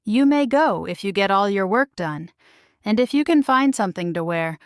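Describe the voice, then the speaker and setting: A woman's voice: mid-range voice, expressive, moderate pace, smooth, no room sound, natural voice. Cruel storybook stepmother, played by a woman, curt and commanding, clean close sound. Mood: slyly agreeing. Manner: bossy, curt. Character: cruel, snapping, sneering middle-aged stepmother.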